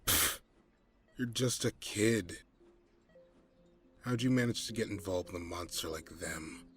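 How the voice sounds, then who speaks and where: Casting: male actor as low-voiced hard-nosed detective, cocky and blunt, for an audio drama with light music underneath. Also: measured pace. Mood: probing. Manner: blunt, swearing, condescending. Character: gruff cynical male detective, cocky and determined.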